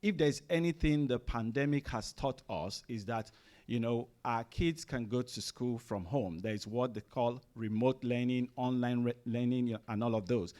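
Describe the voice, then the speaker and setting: A man's voice: medium pitch, moderate pace, a little mellow. Nigerian man giving a casual talk. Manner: conversational, many fillers and restarts. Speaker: guest speaker at a parents' summit. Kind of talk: conference presentation. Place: room with a seated audience.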